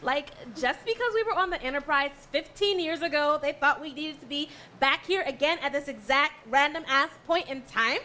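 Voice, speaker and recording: A woman's voice: high-pitched, moderate pace, few pauses, bright, forceful tone, slight background noise. A woman acting a wry, earnest science-fiction heroine in a parody stage musical. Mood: indignant. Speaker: musical theatre performer in a staged reading.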